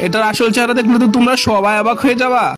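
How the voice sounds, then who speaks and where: A man's voice: extremely high pitch, level tone, rapid-fire, a bit bright. A man voicing a rude, cheeky cartoon character with a snappy, mocking delivery. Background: cartoon music.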